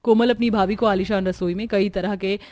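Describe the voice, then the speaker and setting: A woman's voice: mid-range voice, fairly even intonation, a little fast, darkish tone, dry recording. A woman narrator reading scripted narration for a cartoon family story.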